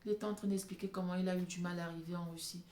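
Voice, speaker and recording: A woman's voice: on the low side, fairly even intonation, racing, darkish tone. A woman giving an impassioned, off-the-cuff opinion monologue, emphatic and repeating phrases for stress. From francophone Africa. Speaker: vlogger addressing African women. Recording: echoey room with background noise.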